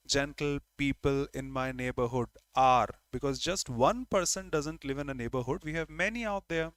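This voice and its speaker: Man, Indian English accent, grammar teacher, repeats key words, slightly high voice, dry close sound. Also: a bit bright, no echo. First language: Hindi. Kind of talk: online grammar lesson.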